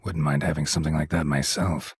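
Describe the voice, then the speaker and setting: A man playing a gruff, stoic, sardonic monster-hunting mercenary in a video game, terse and deadpan with a gravelly voice. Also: rumbling low, a little fast, dry recording, clean studio sound, heavily acted. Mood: dry, guarded. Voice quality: gravelly, husky. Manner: terse, deadpan, clipped sentences. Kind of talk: video game dialogue acting.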